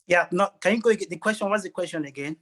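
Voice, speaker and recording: A man's voice: sits high, very fast, fairly bright tone. A man with a Nigerian accent speaking earnestly and emphatically as a motivational interview guest, repeating phrases for effect.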